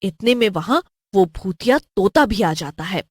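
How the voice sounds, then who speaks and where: Female cartoon horror narrator: animated intonation, measured pace, scripted storytelling in a dry studio sound. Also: mid-range voice, breathy edge, dry recording.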